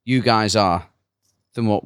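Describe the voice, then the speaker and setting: A man's voice: fairly low pitch, a bit bright, clear, resonant tone, dry recording. Guy hosting, teasing questions, dry close mic. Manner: joking, asks quick questions. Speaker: podcast host. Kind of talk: casual chat.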